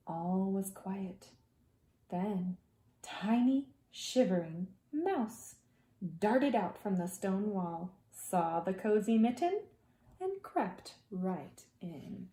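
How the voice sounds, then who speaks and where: A woman preschool teacher reading a children's story aloud in a dramatic way, with hushed asides to young listeners. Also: mid-range voice, echoey room.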